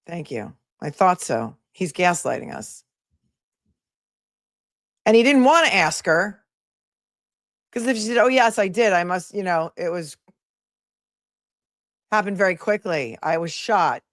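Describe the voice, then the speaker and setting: A woman with a deep-set voice comments on a trial as a livestream host. Her delivery is casual and skeptical, full of opinionated asides, and the sound is dry with very little room in it. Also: expressive, a little slow, pauses often, dry recording.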